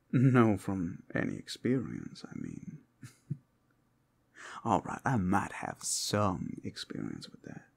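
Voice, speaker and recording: A man acts out a seductive werewolf companion in a fantasy ASMR roleplay. His voice is low and gravelly, sometimes sinking to a breathy whisper. He takes his time, teasing the listener in a playful drawl that rises and falls widely. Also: heavily acted. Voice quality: gravelly, husky, often dropping to a whisper. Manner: slow, playful, seductive drawl with pet names. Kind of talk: ASMR roleplay, intimate scripted acting to the listener.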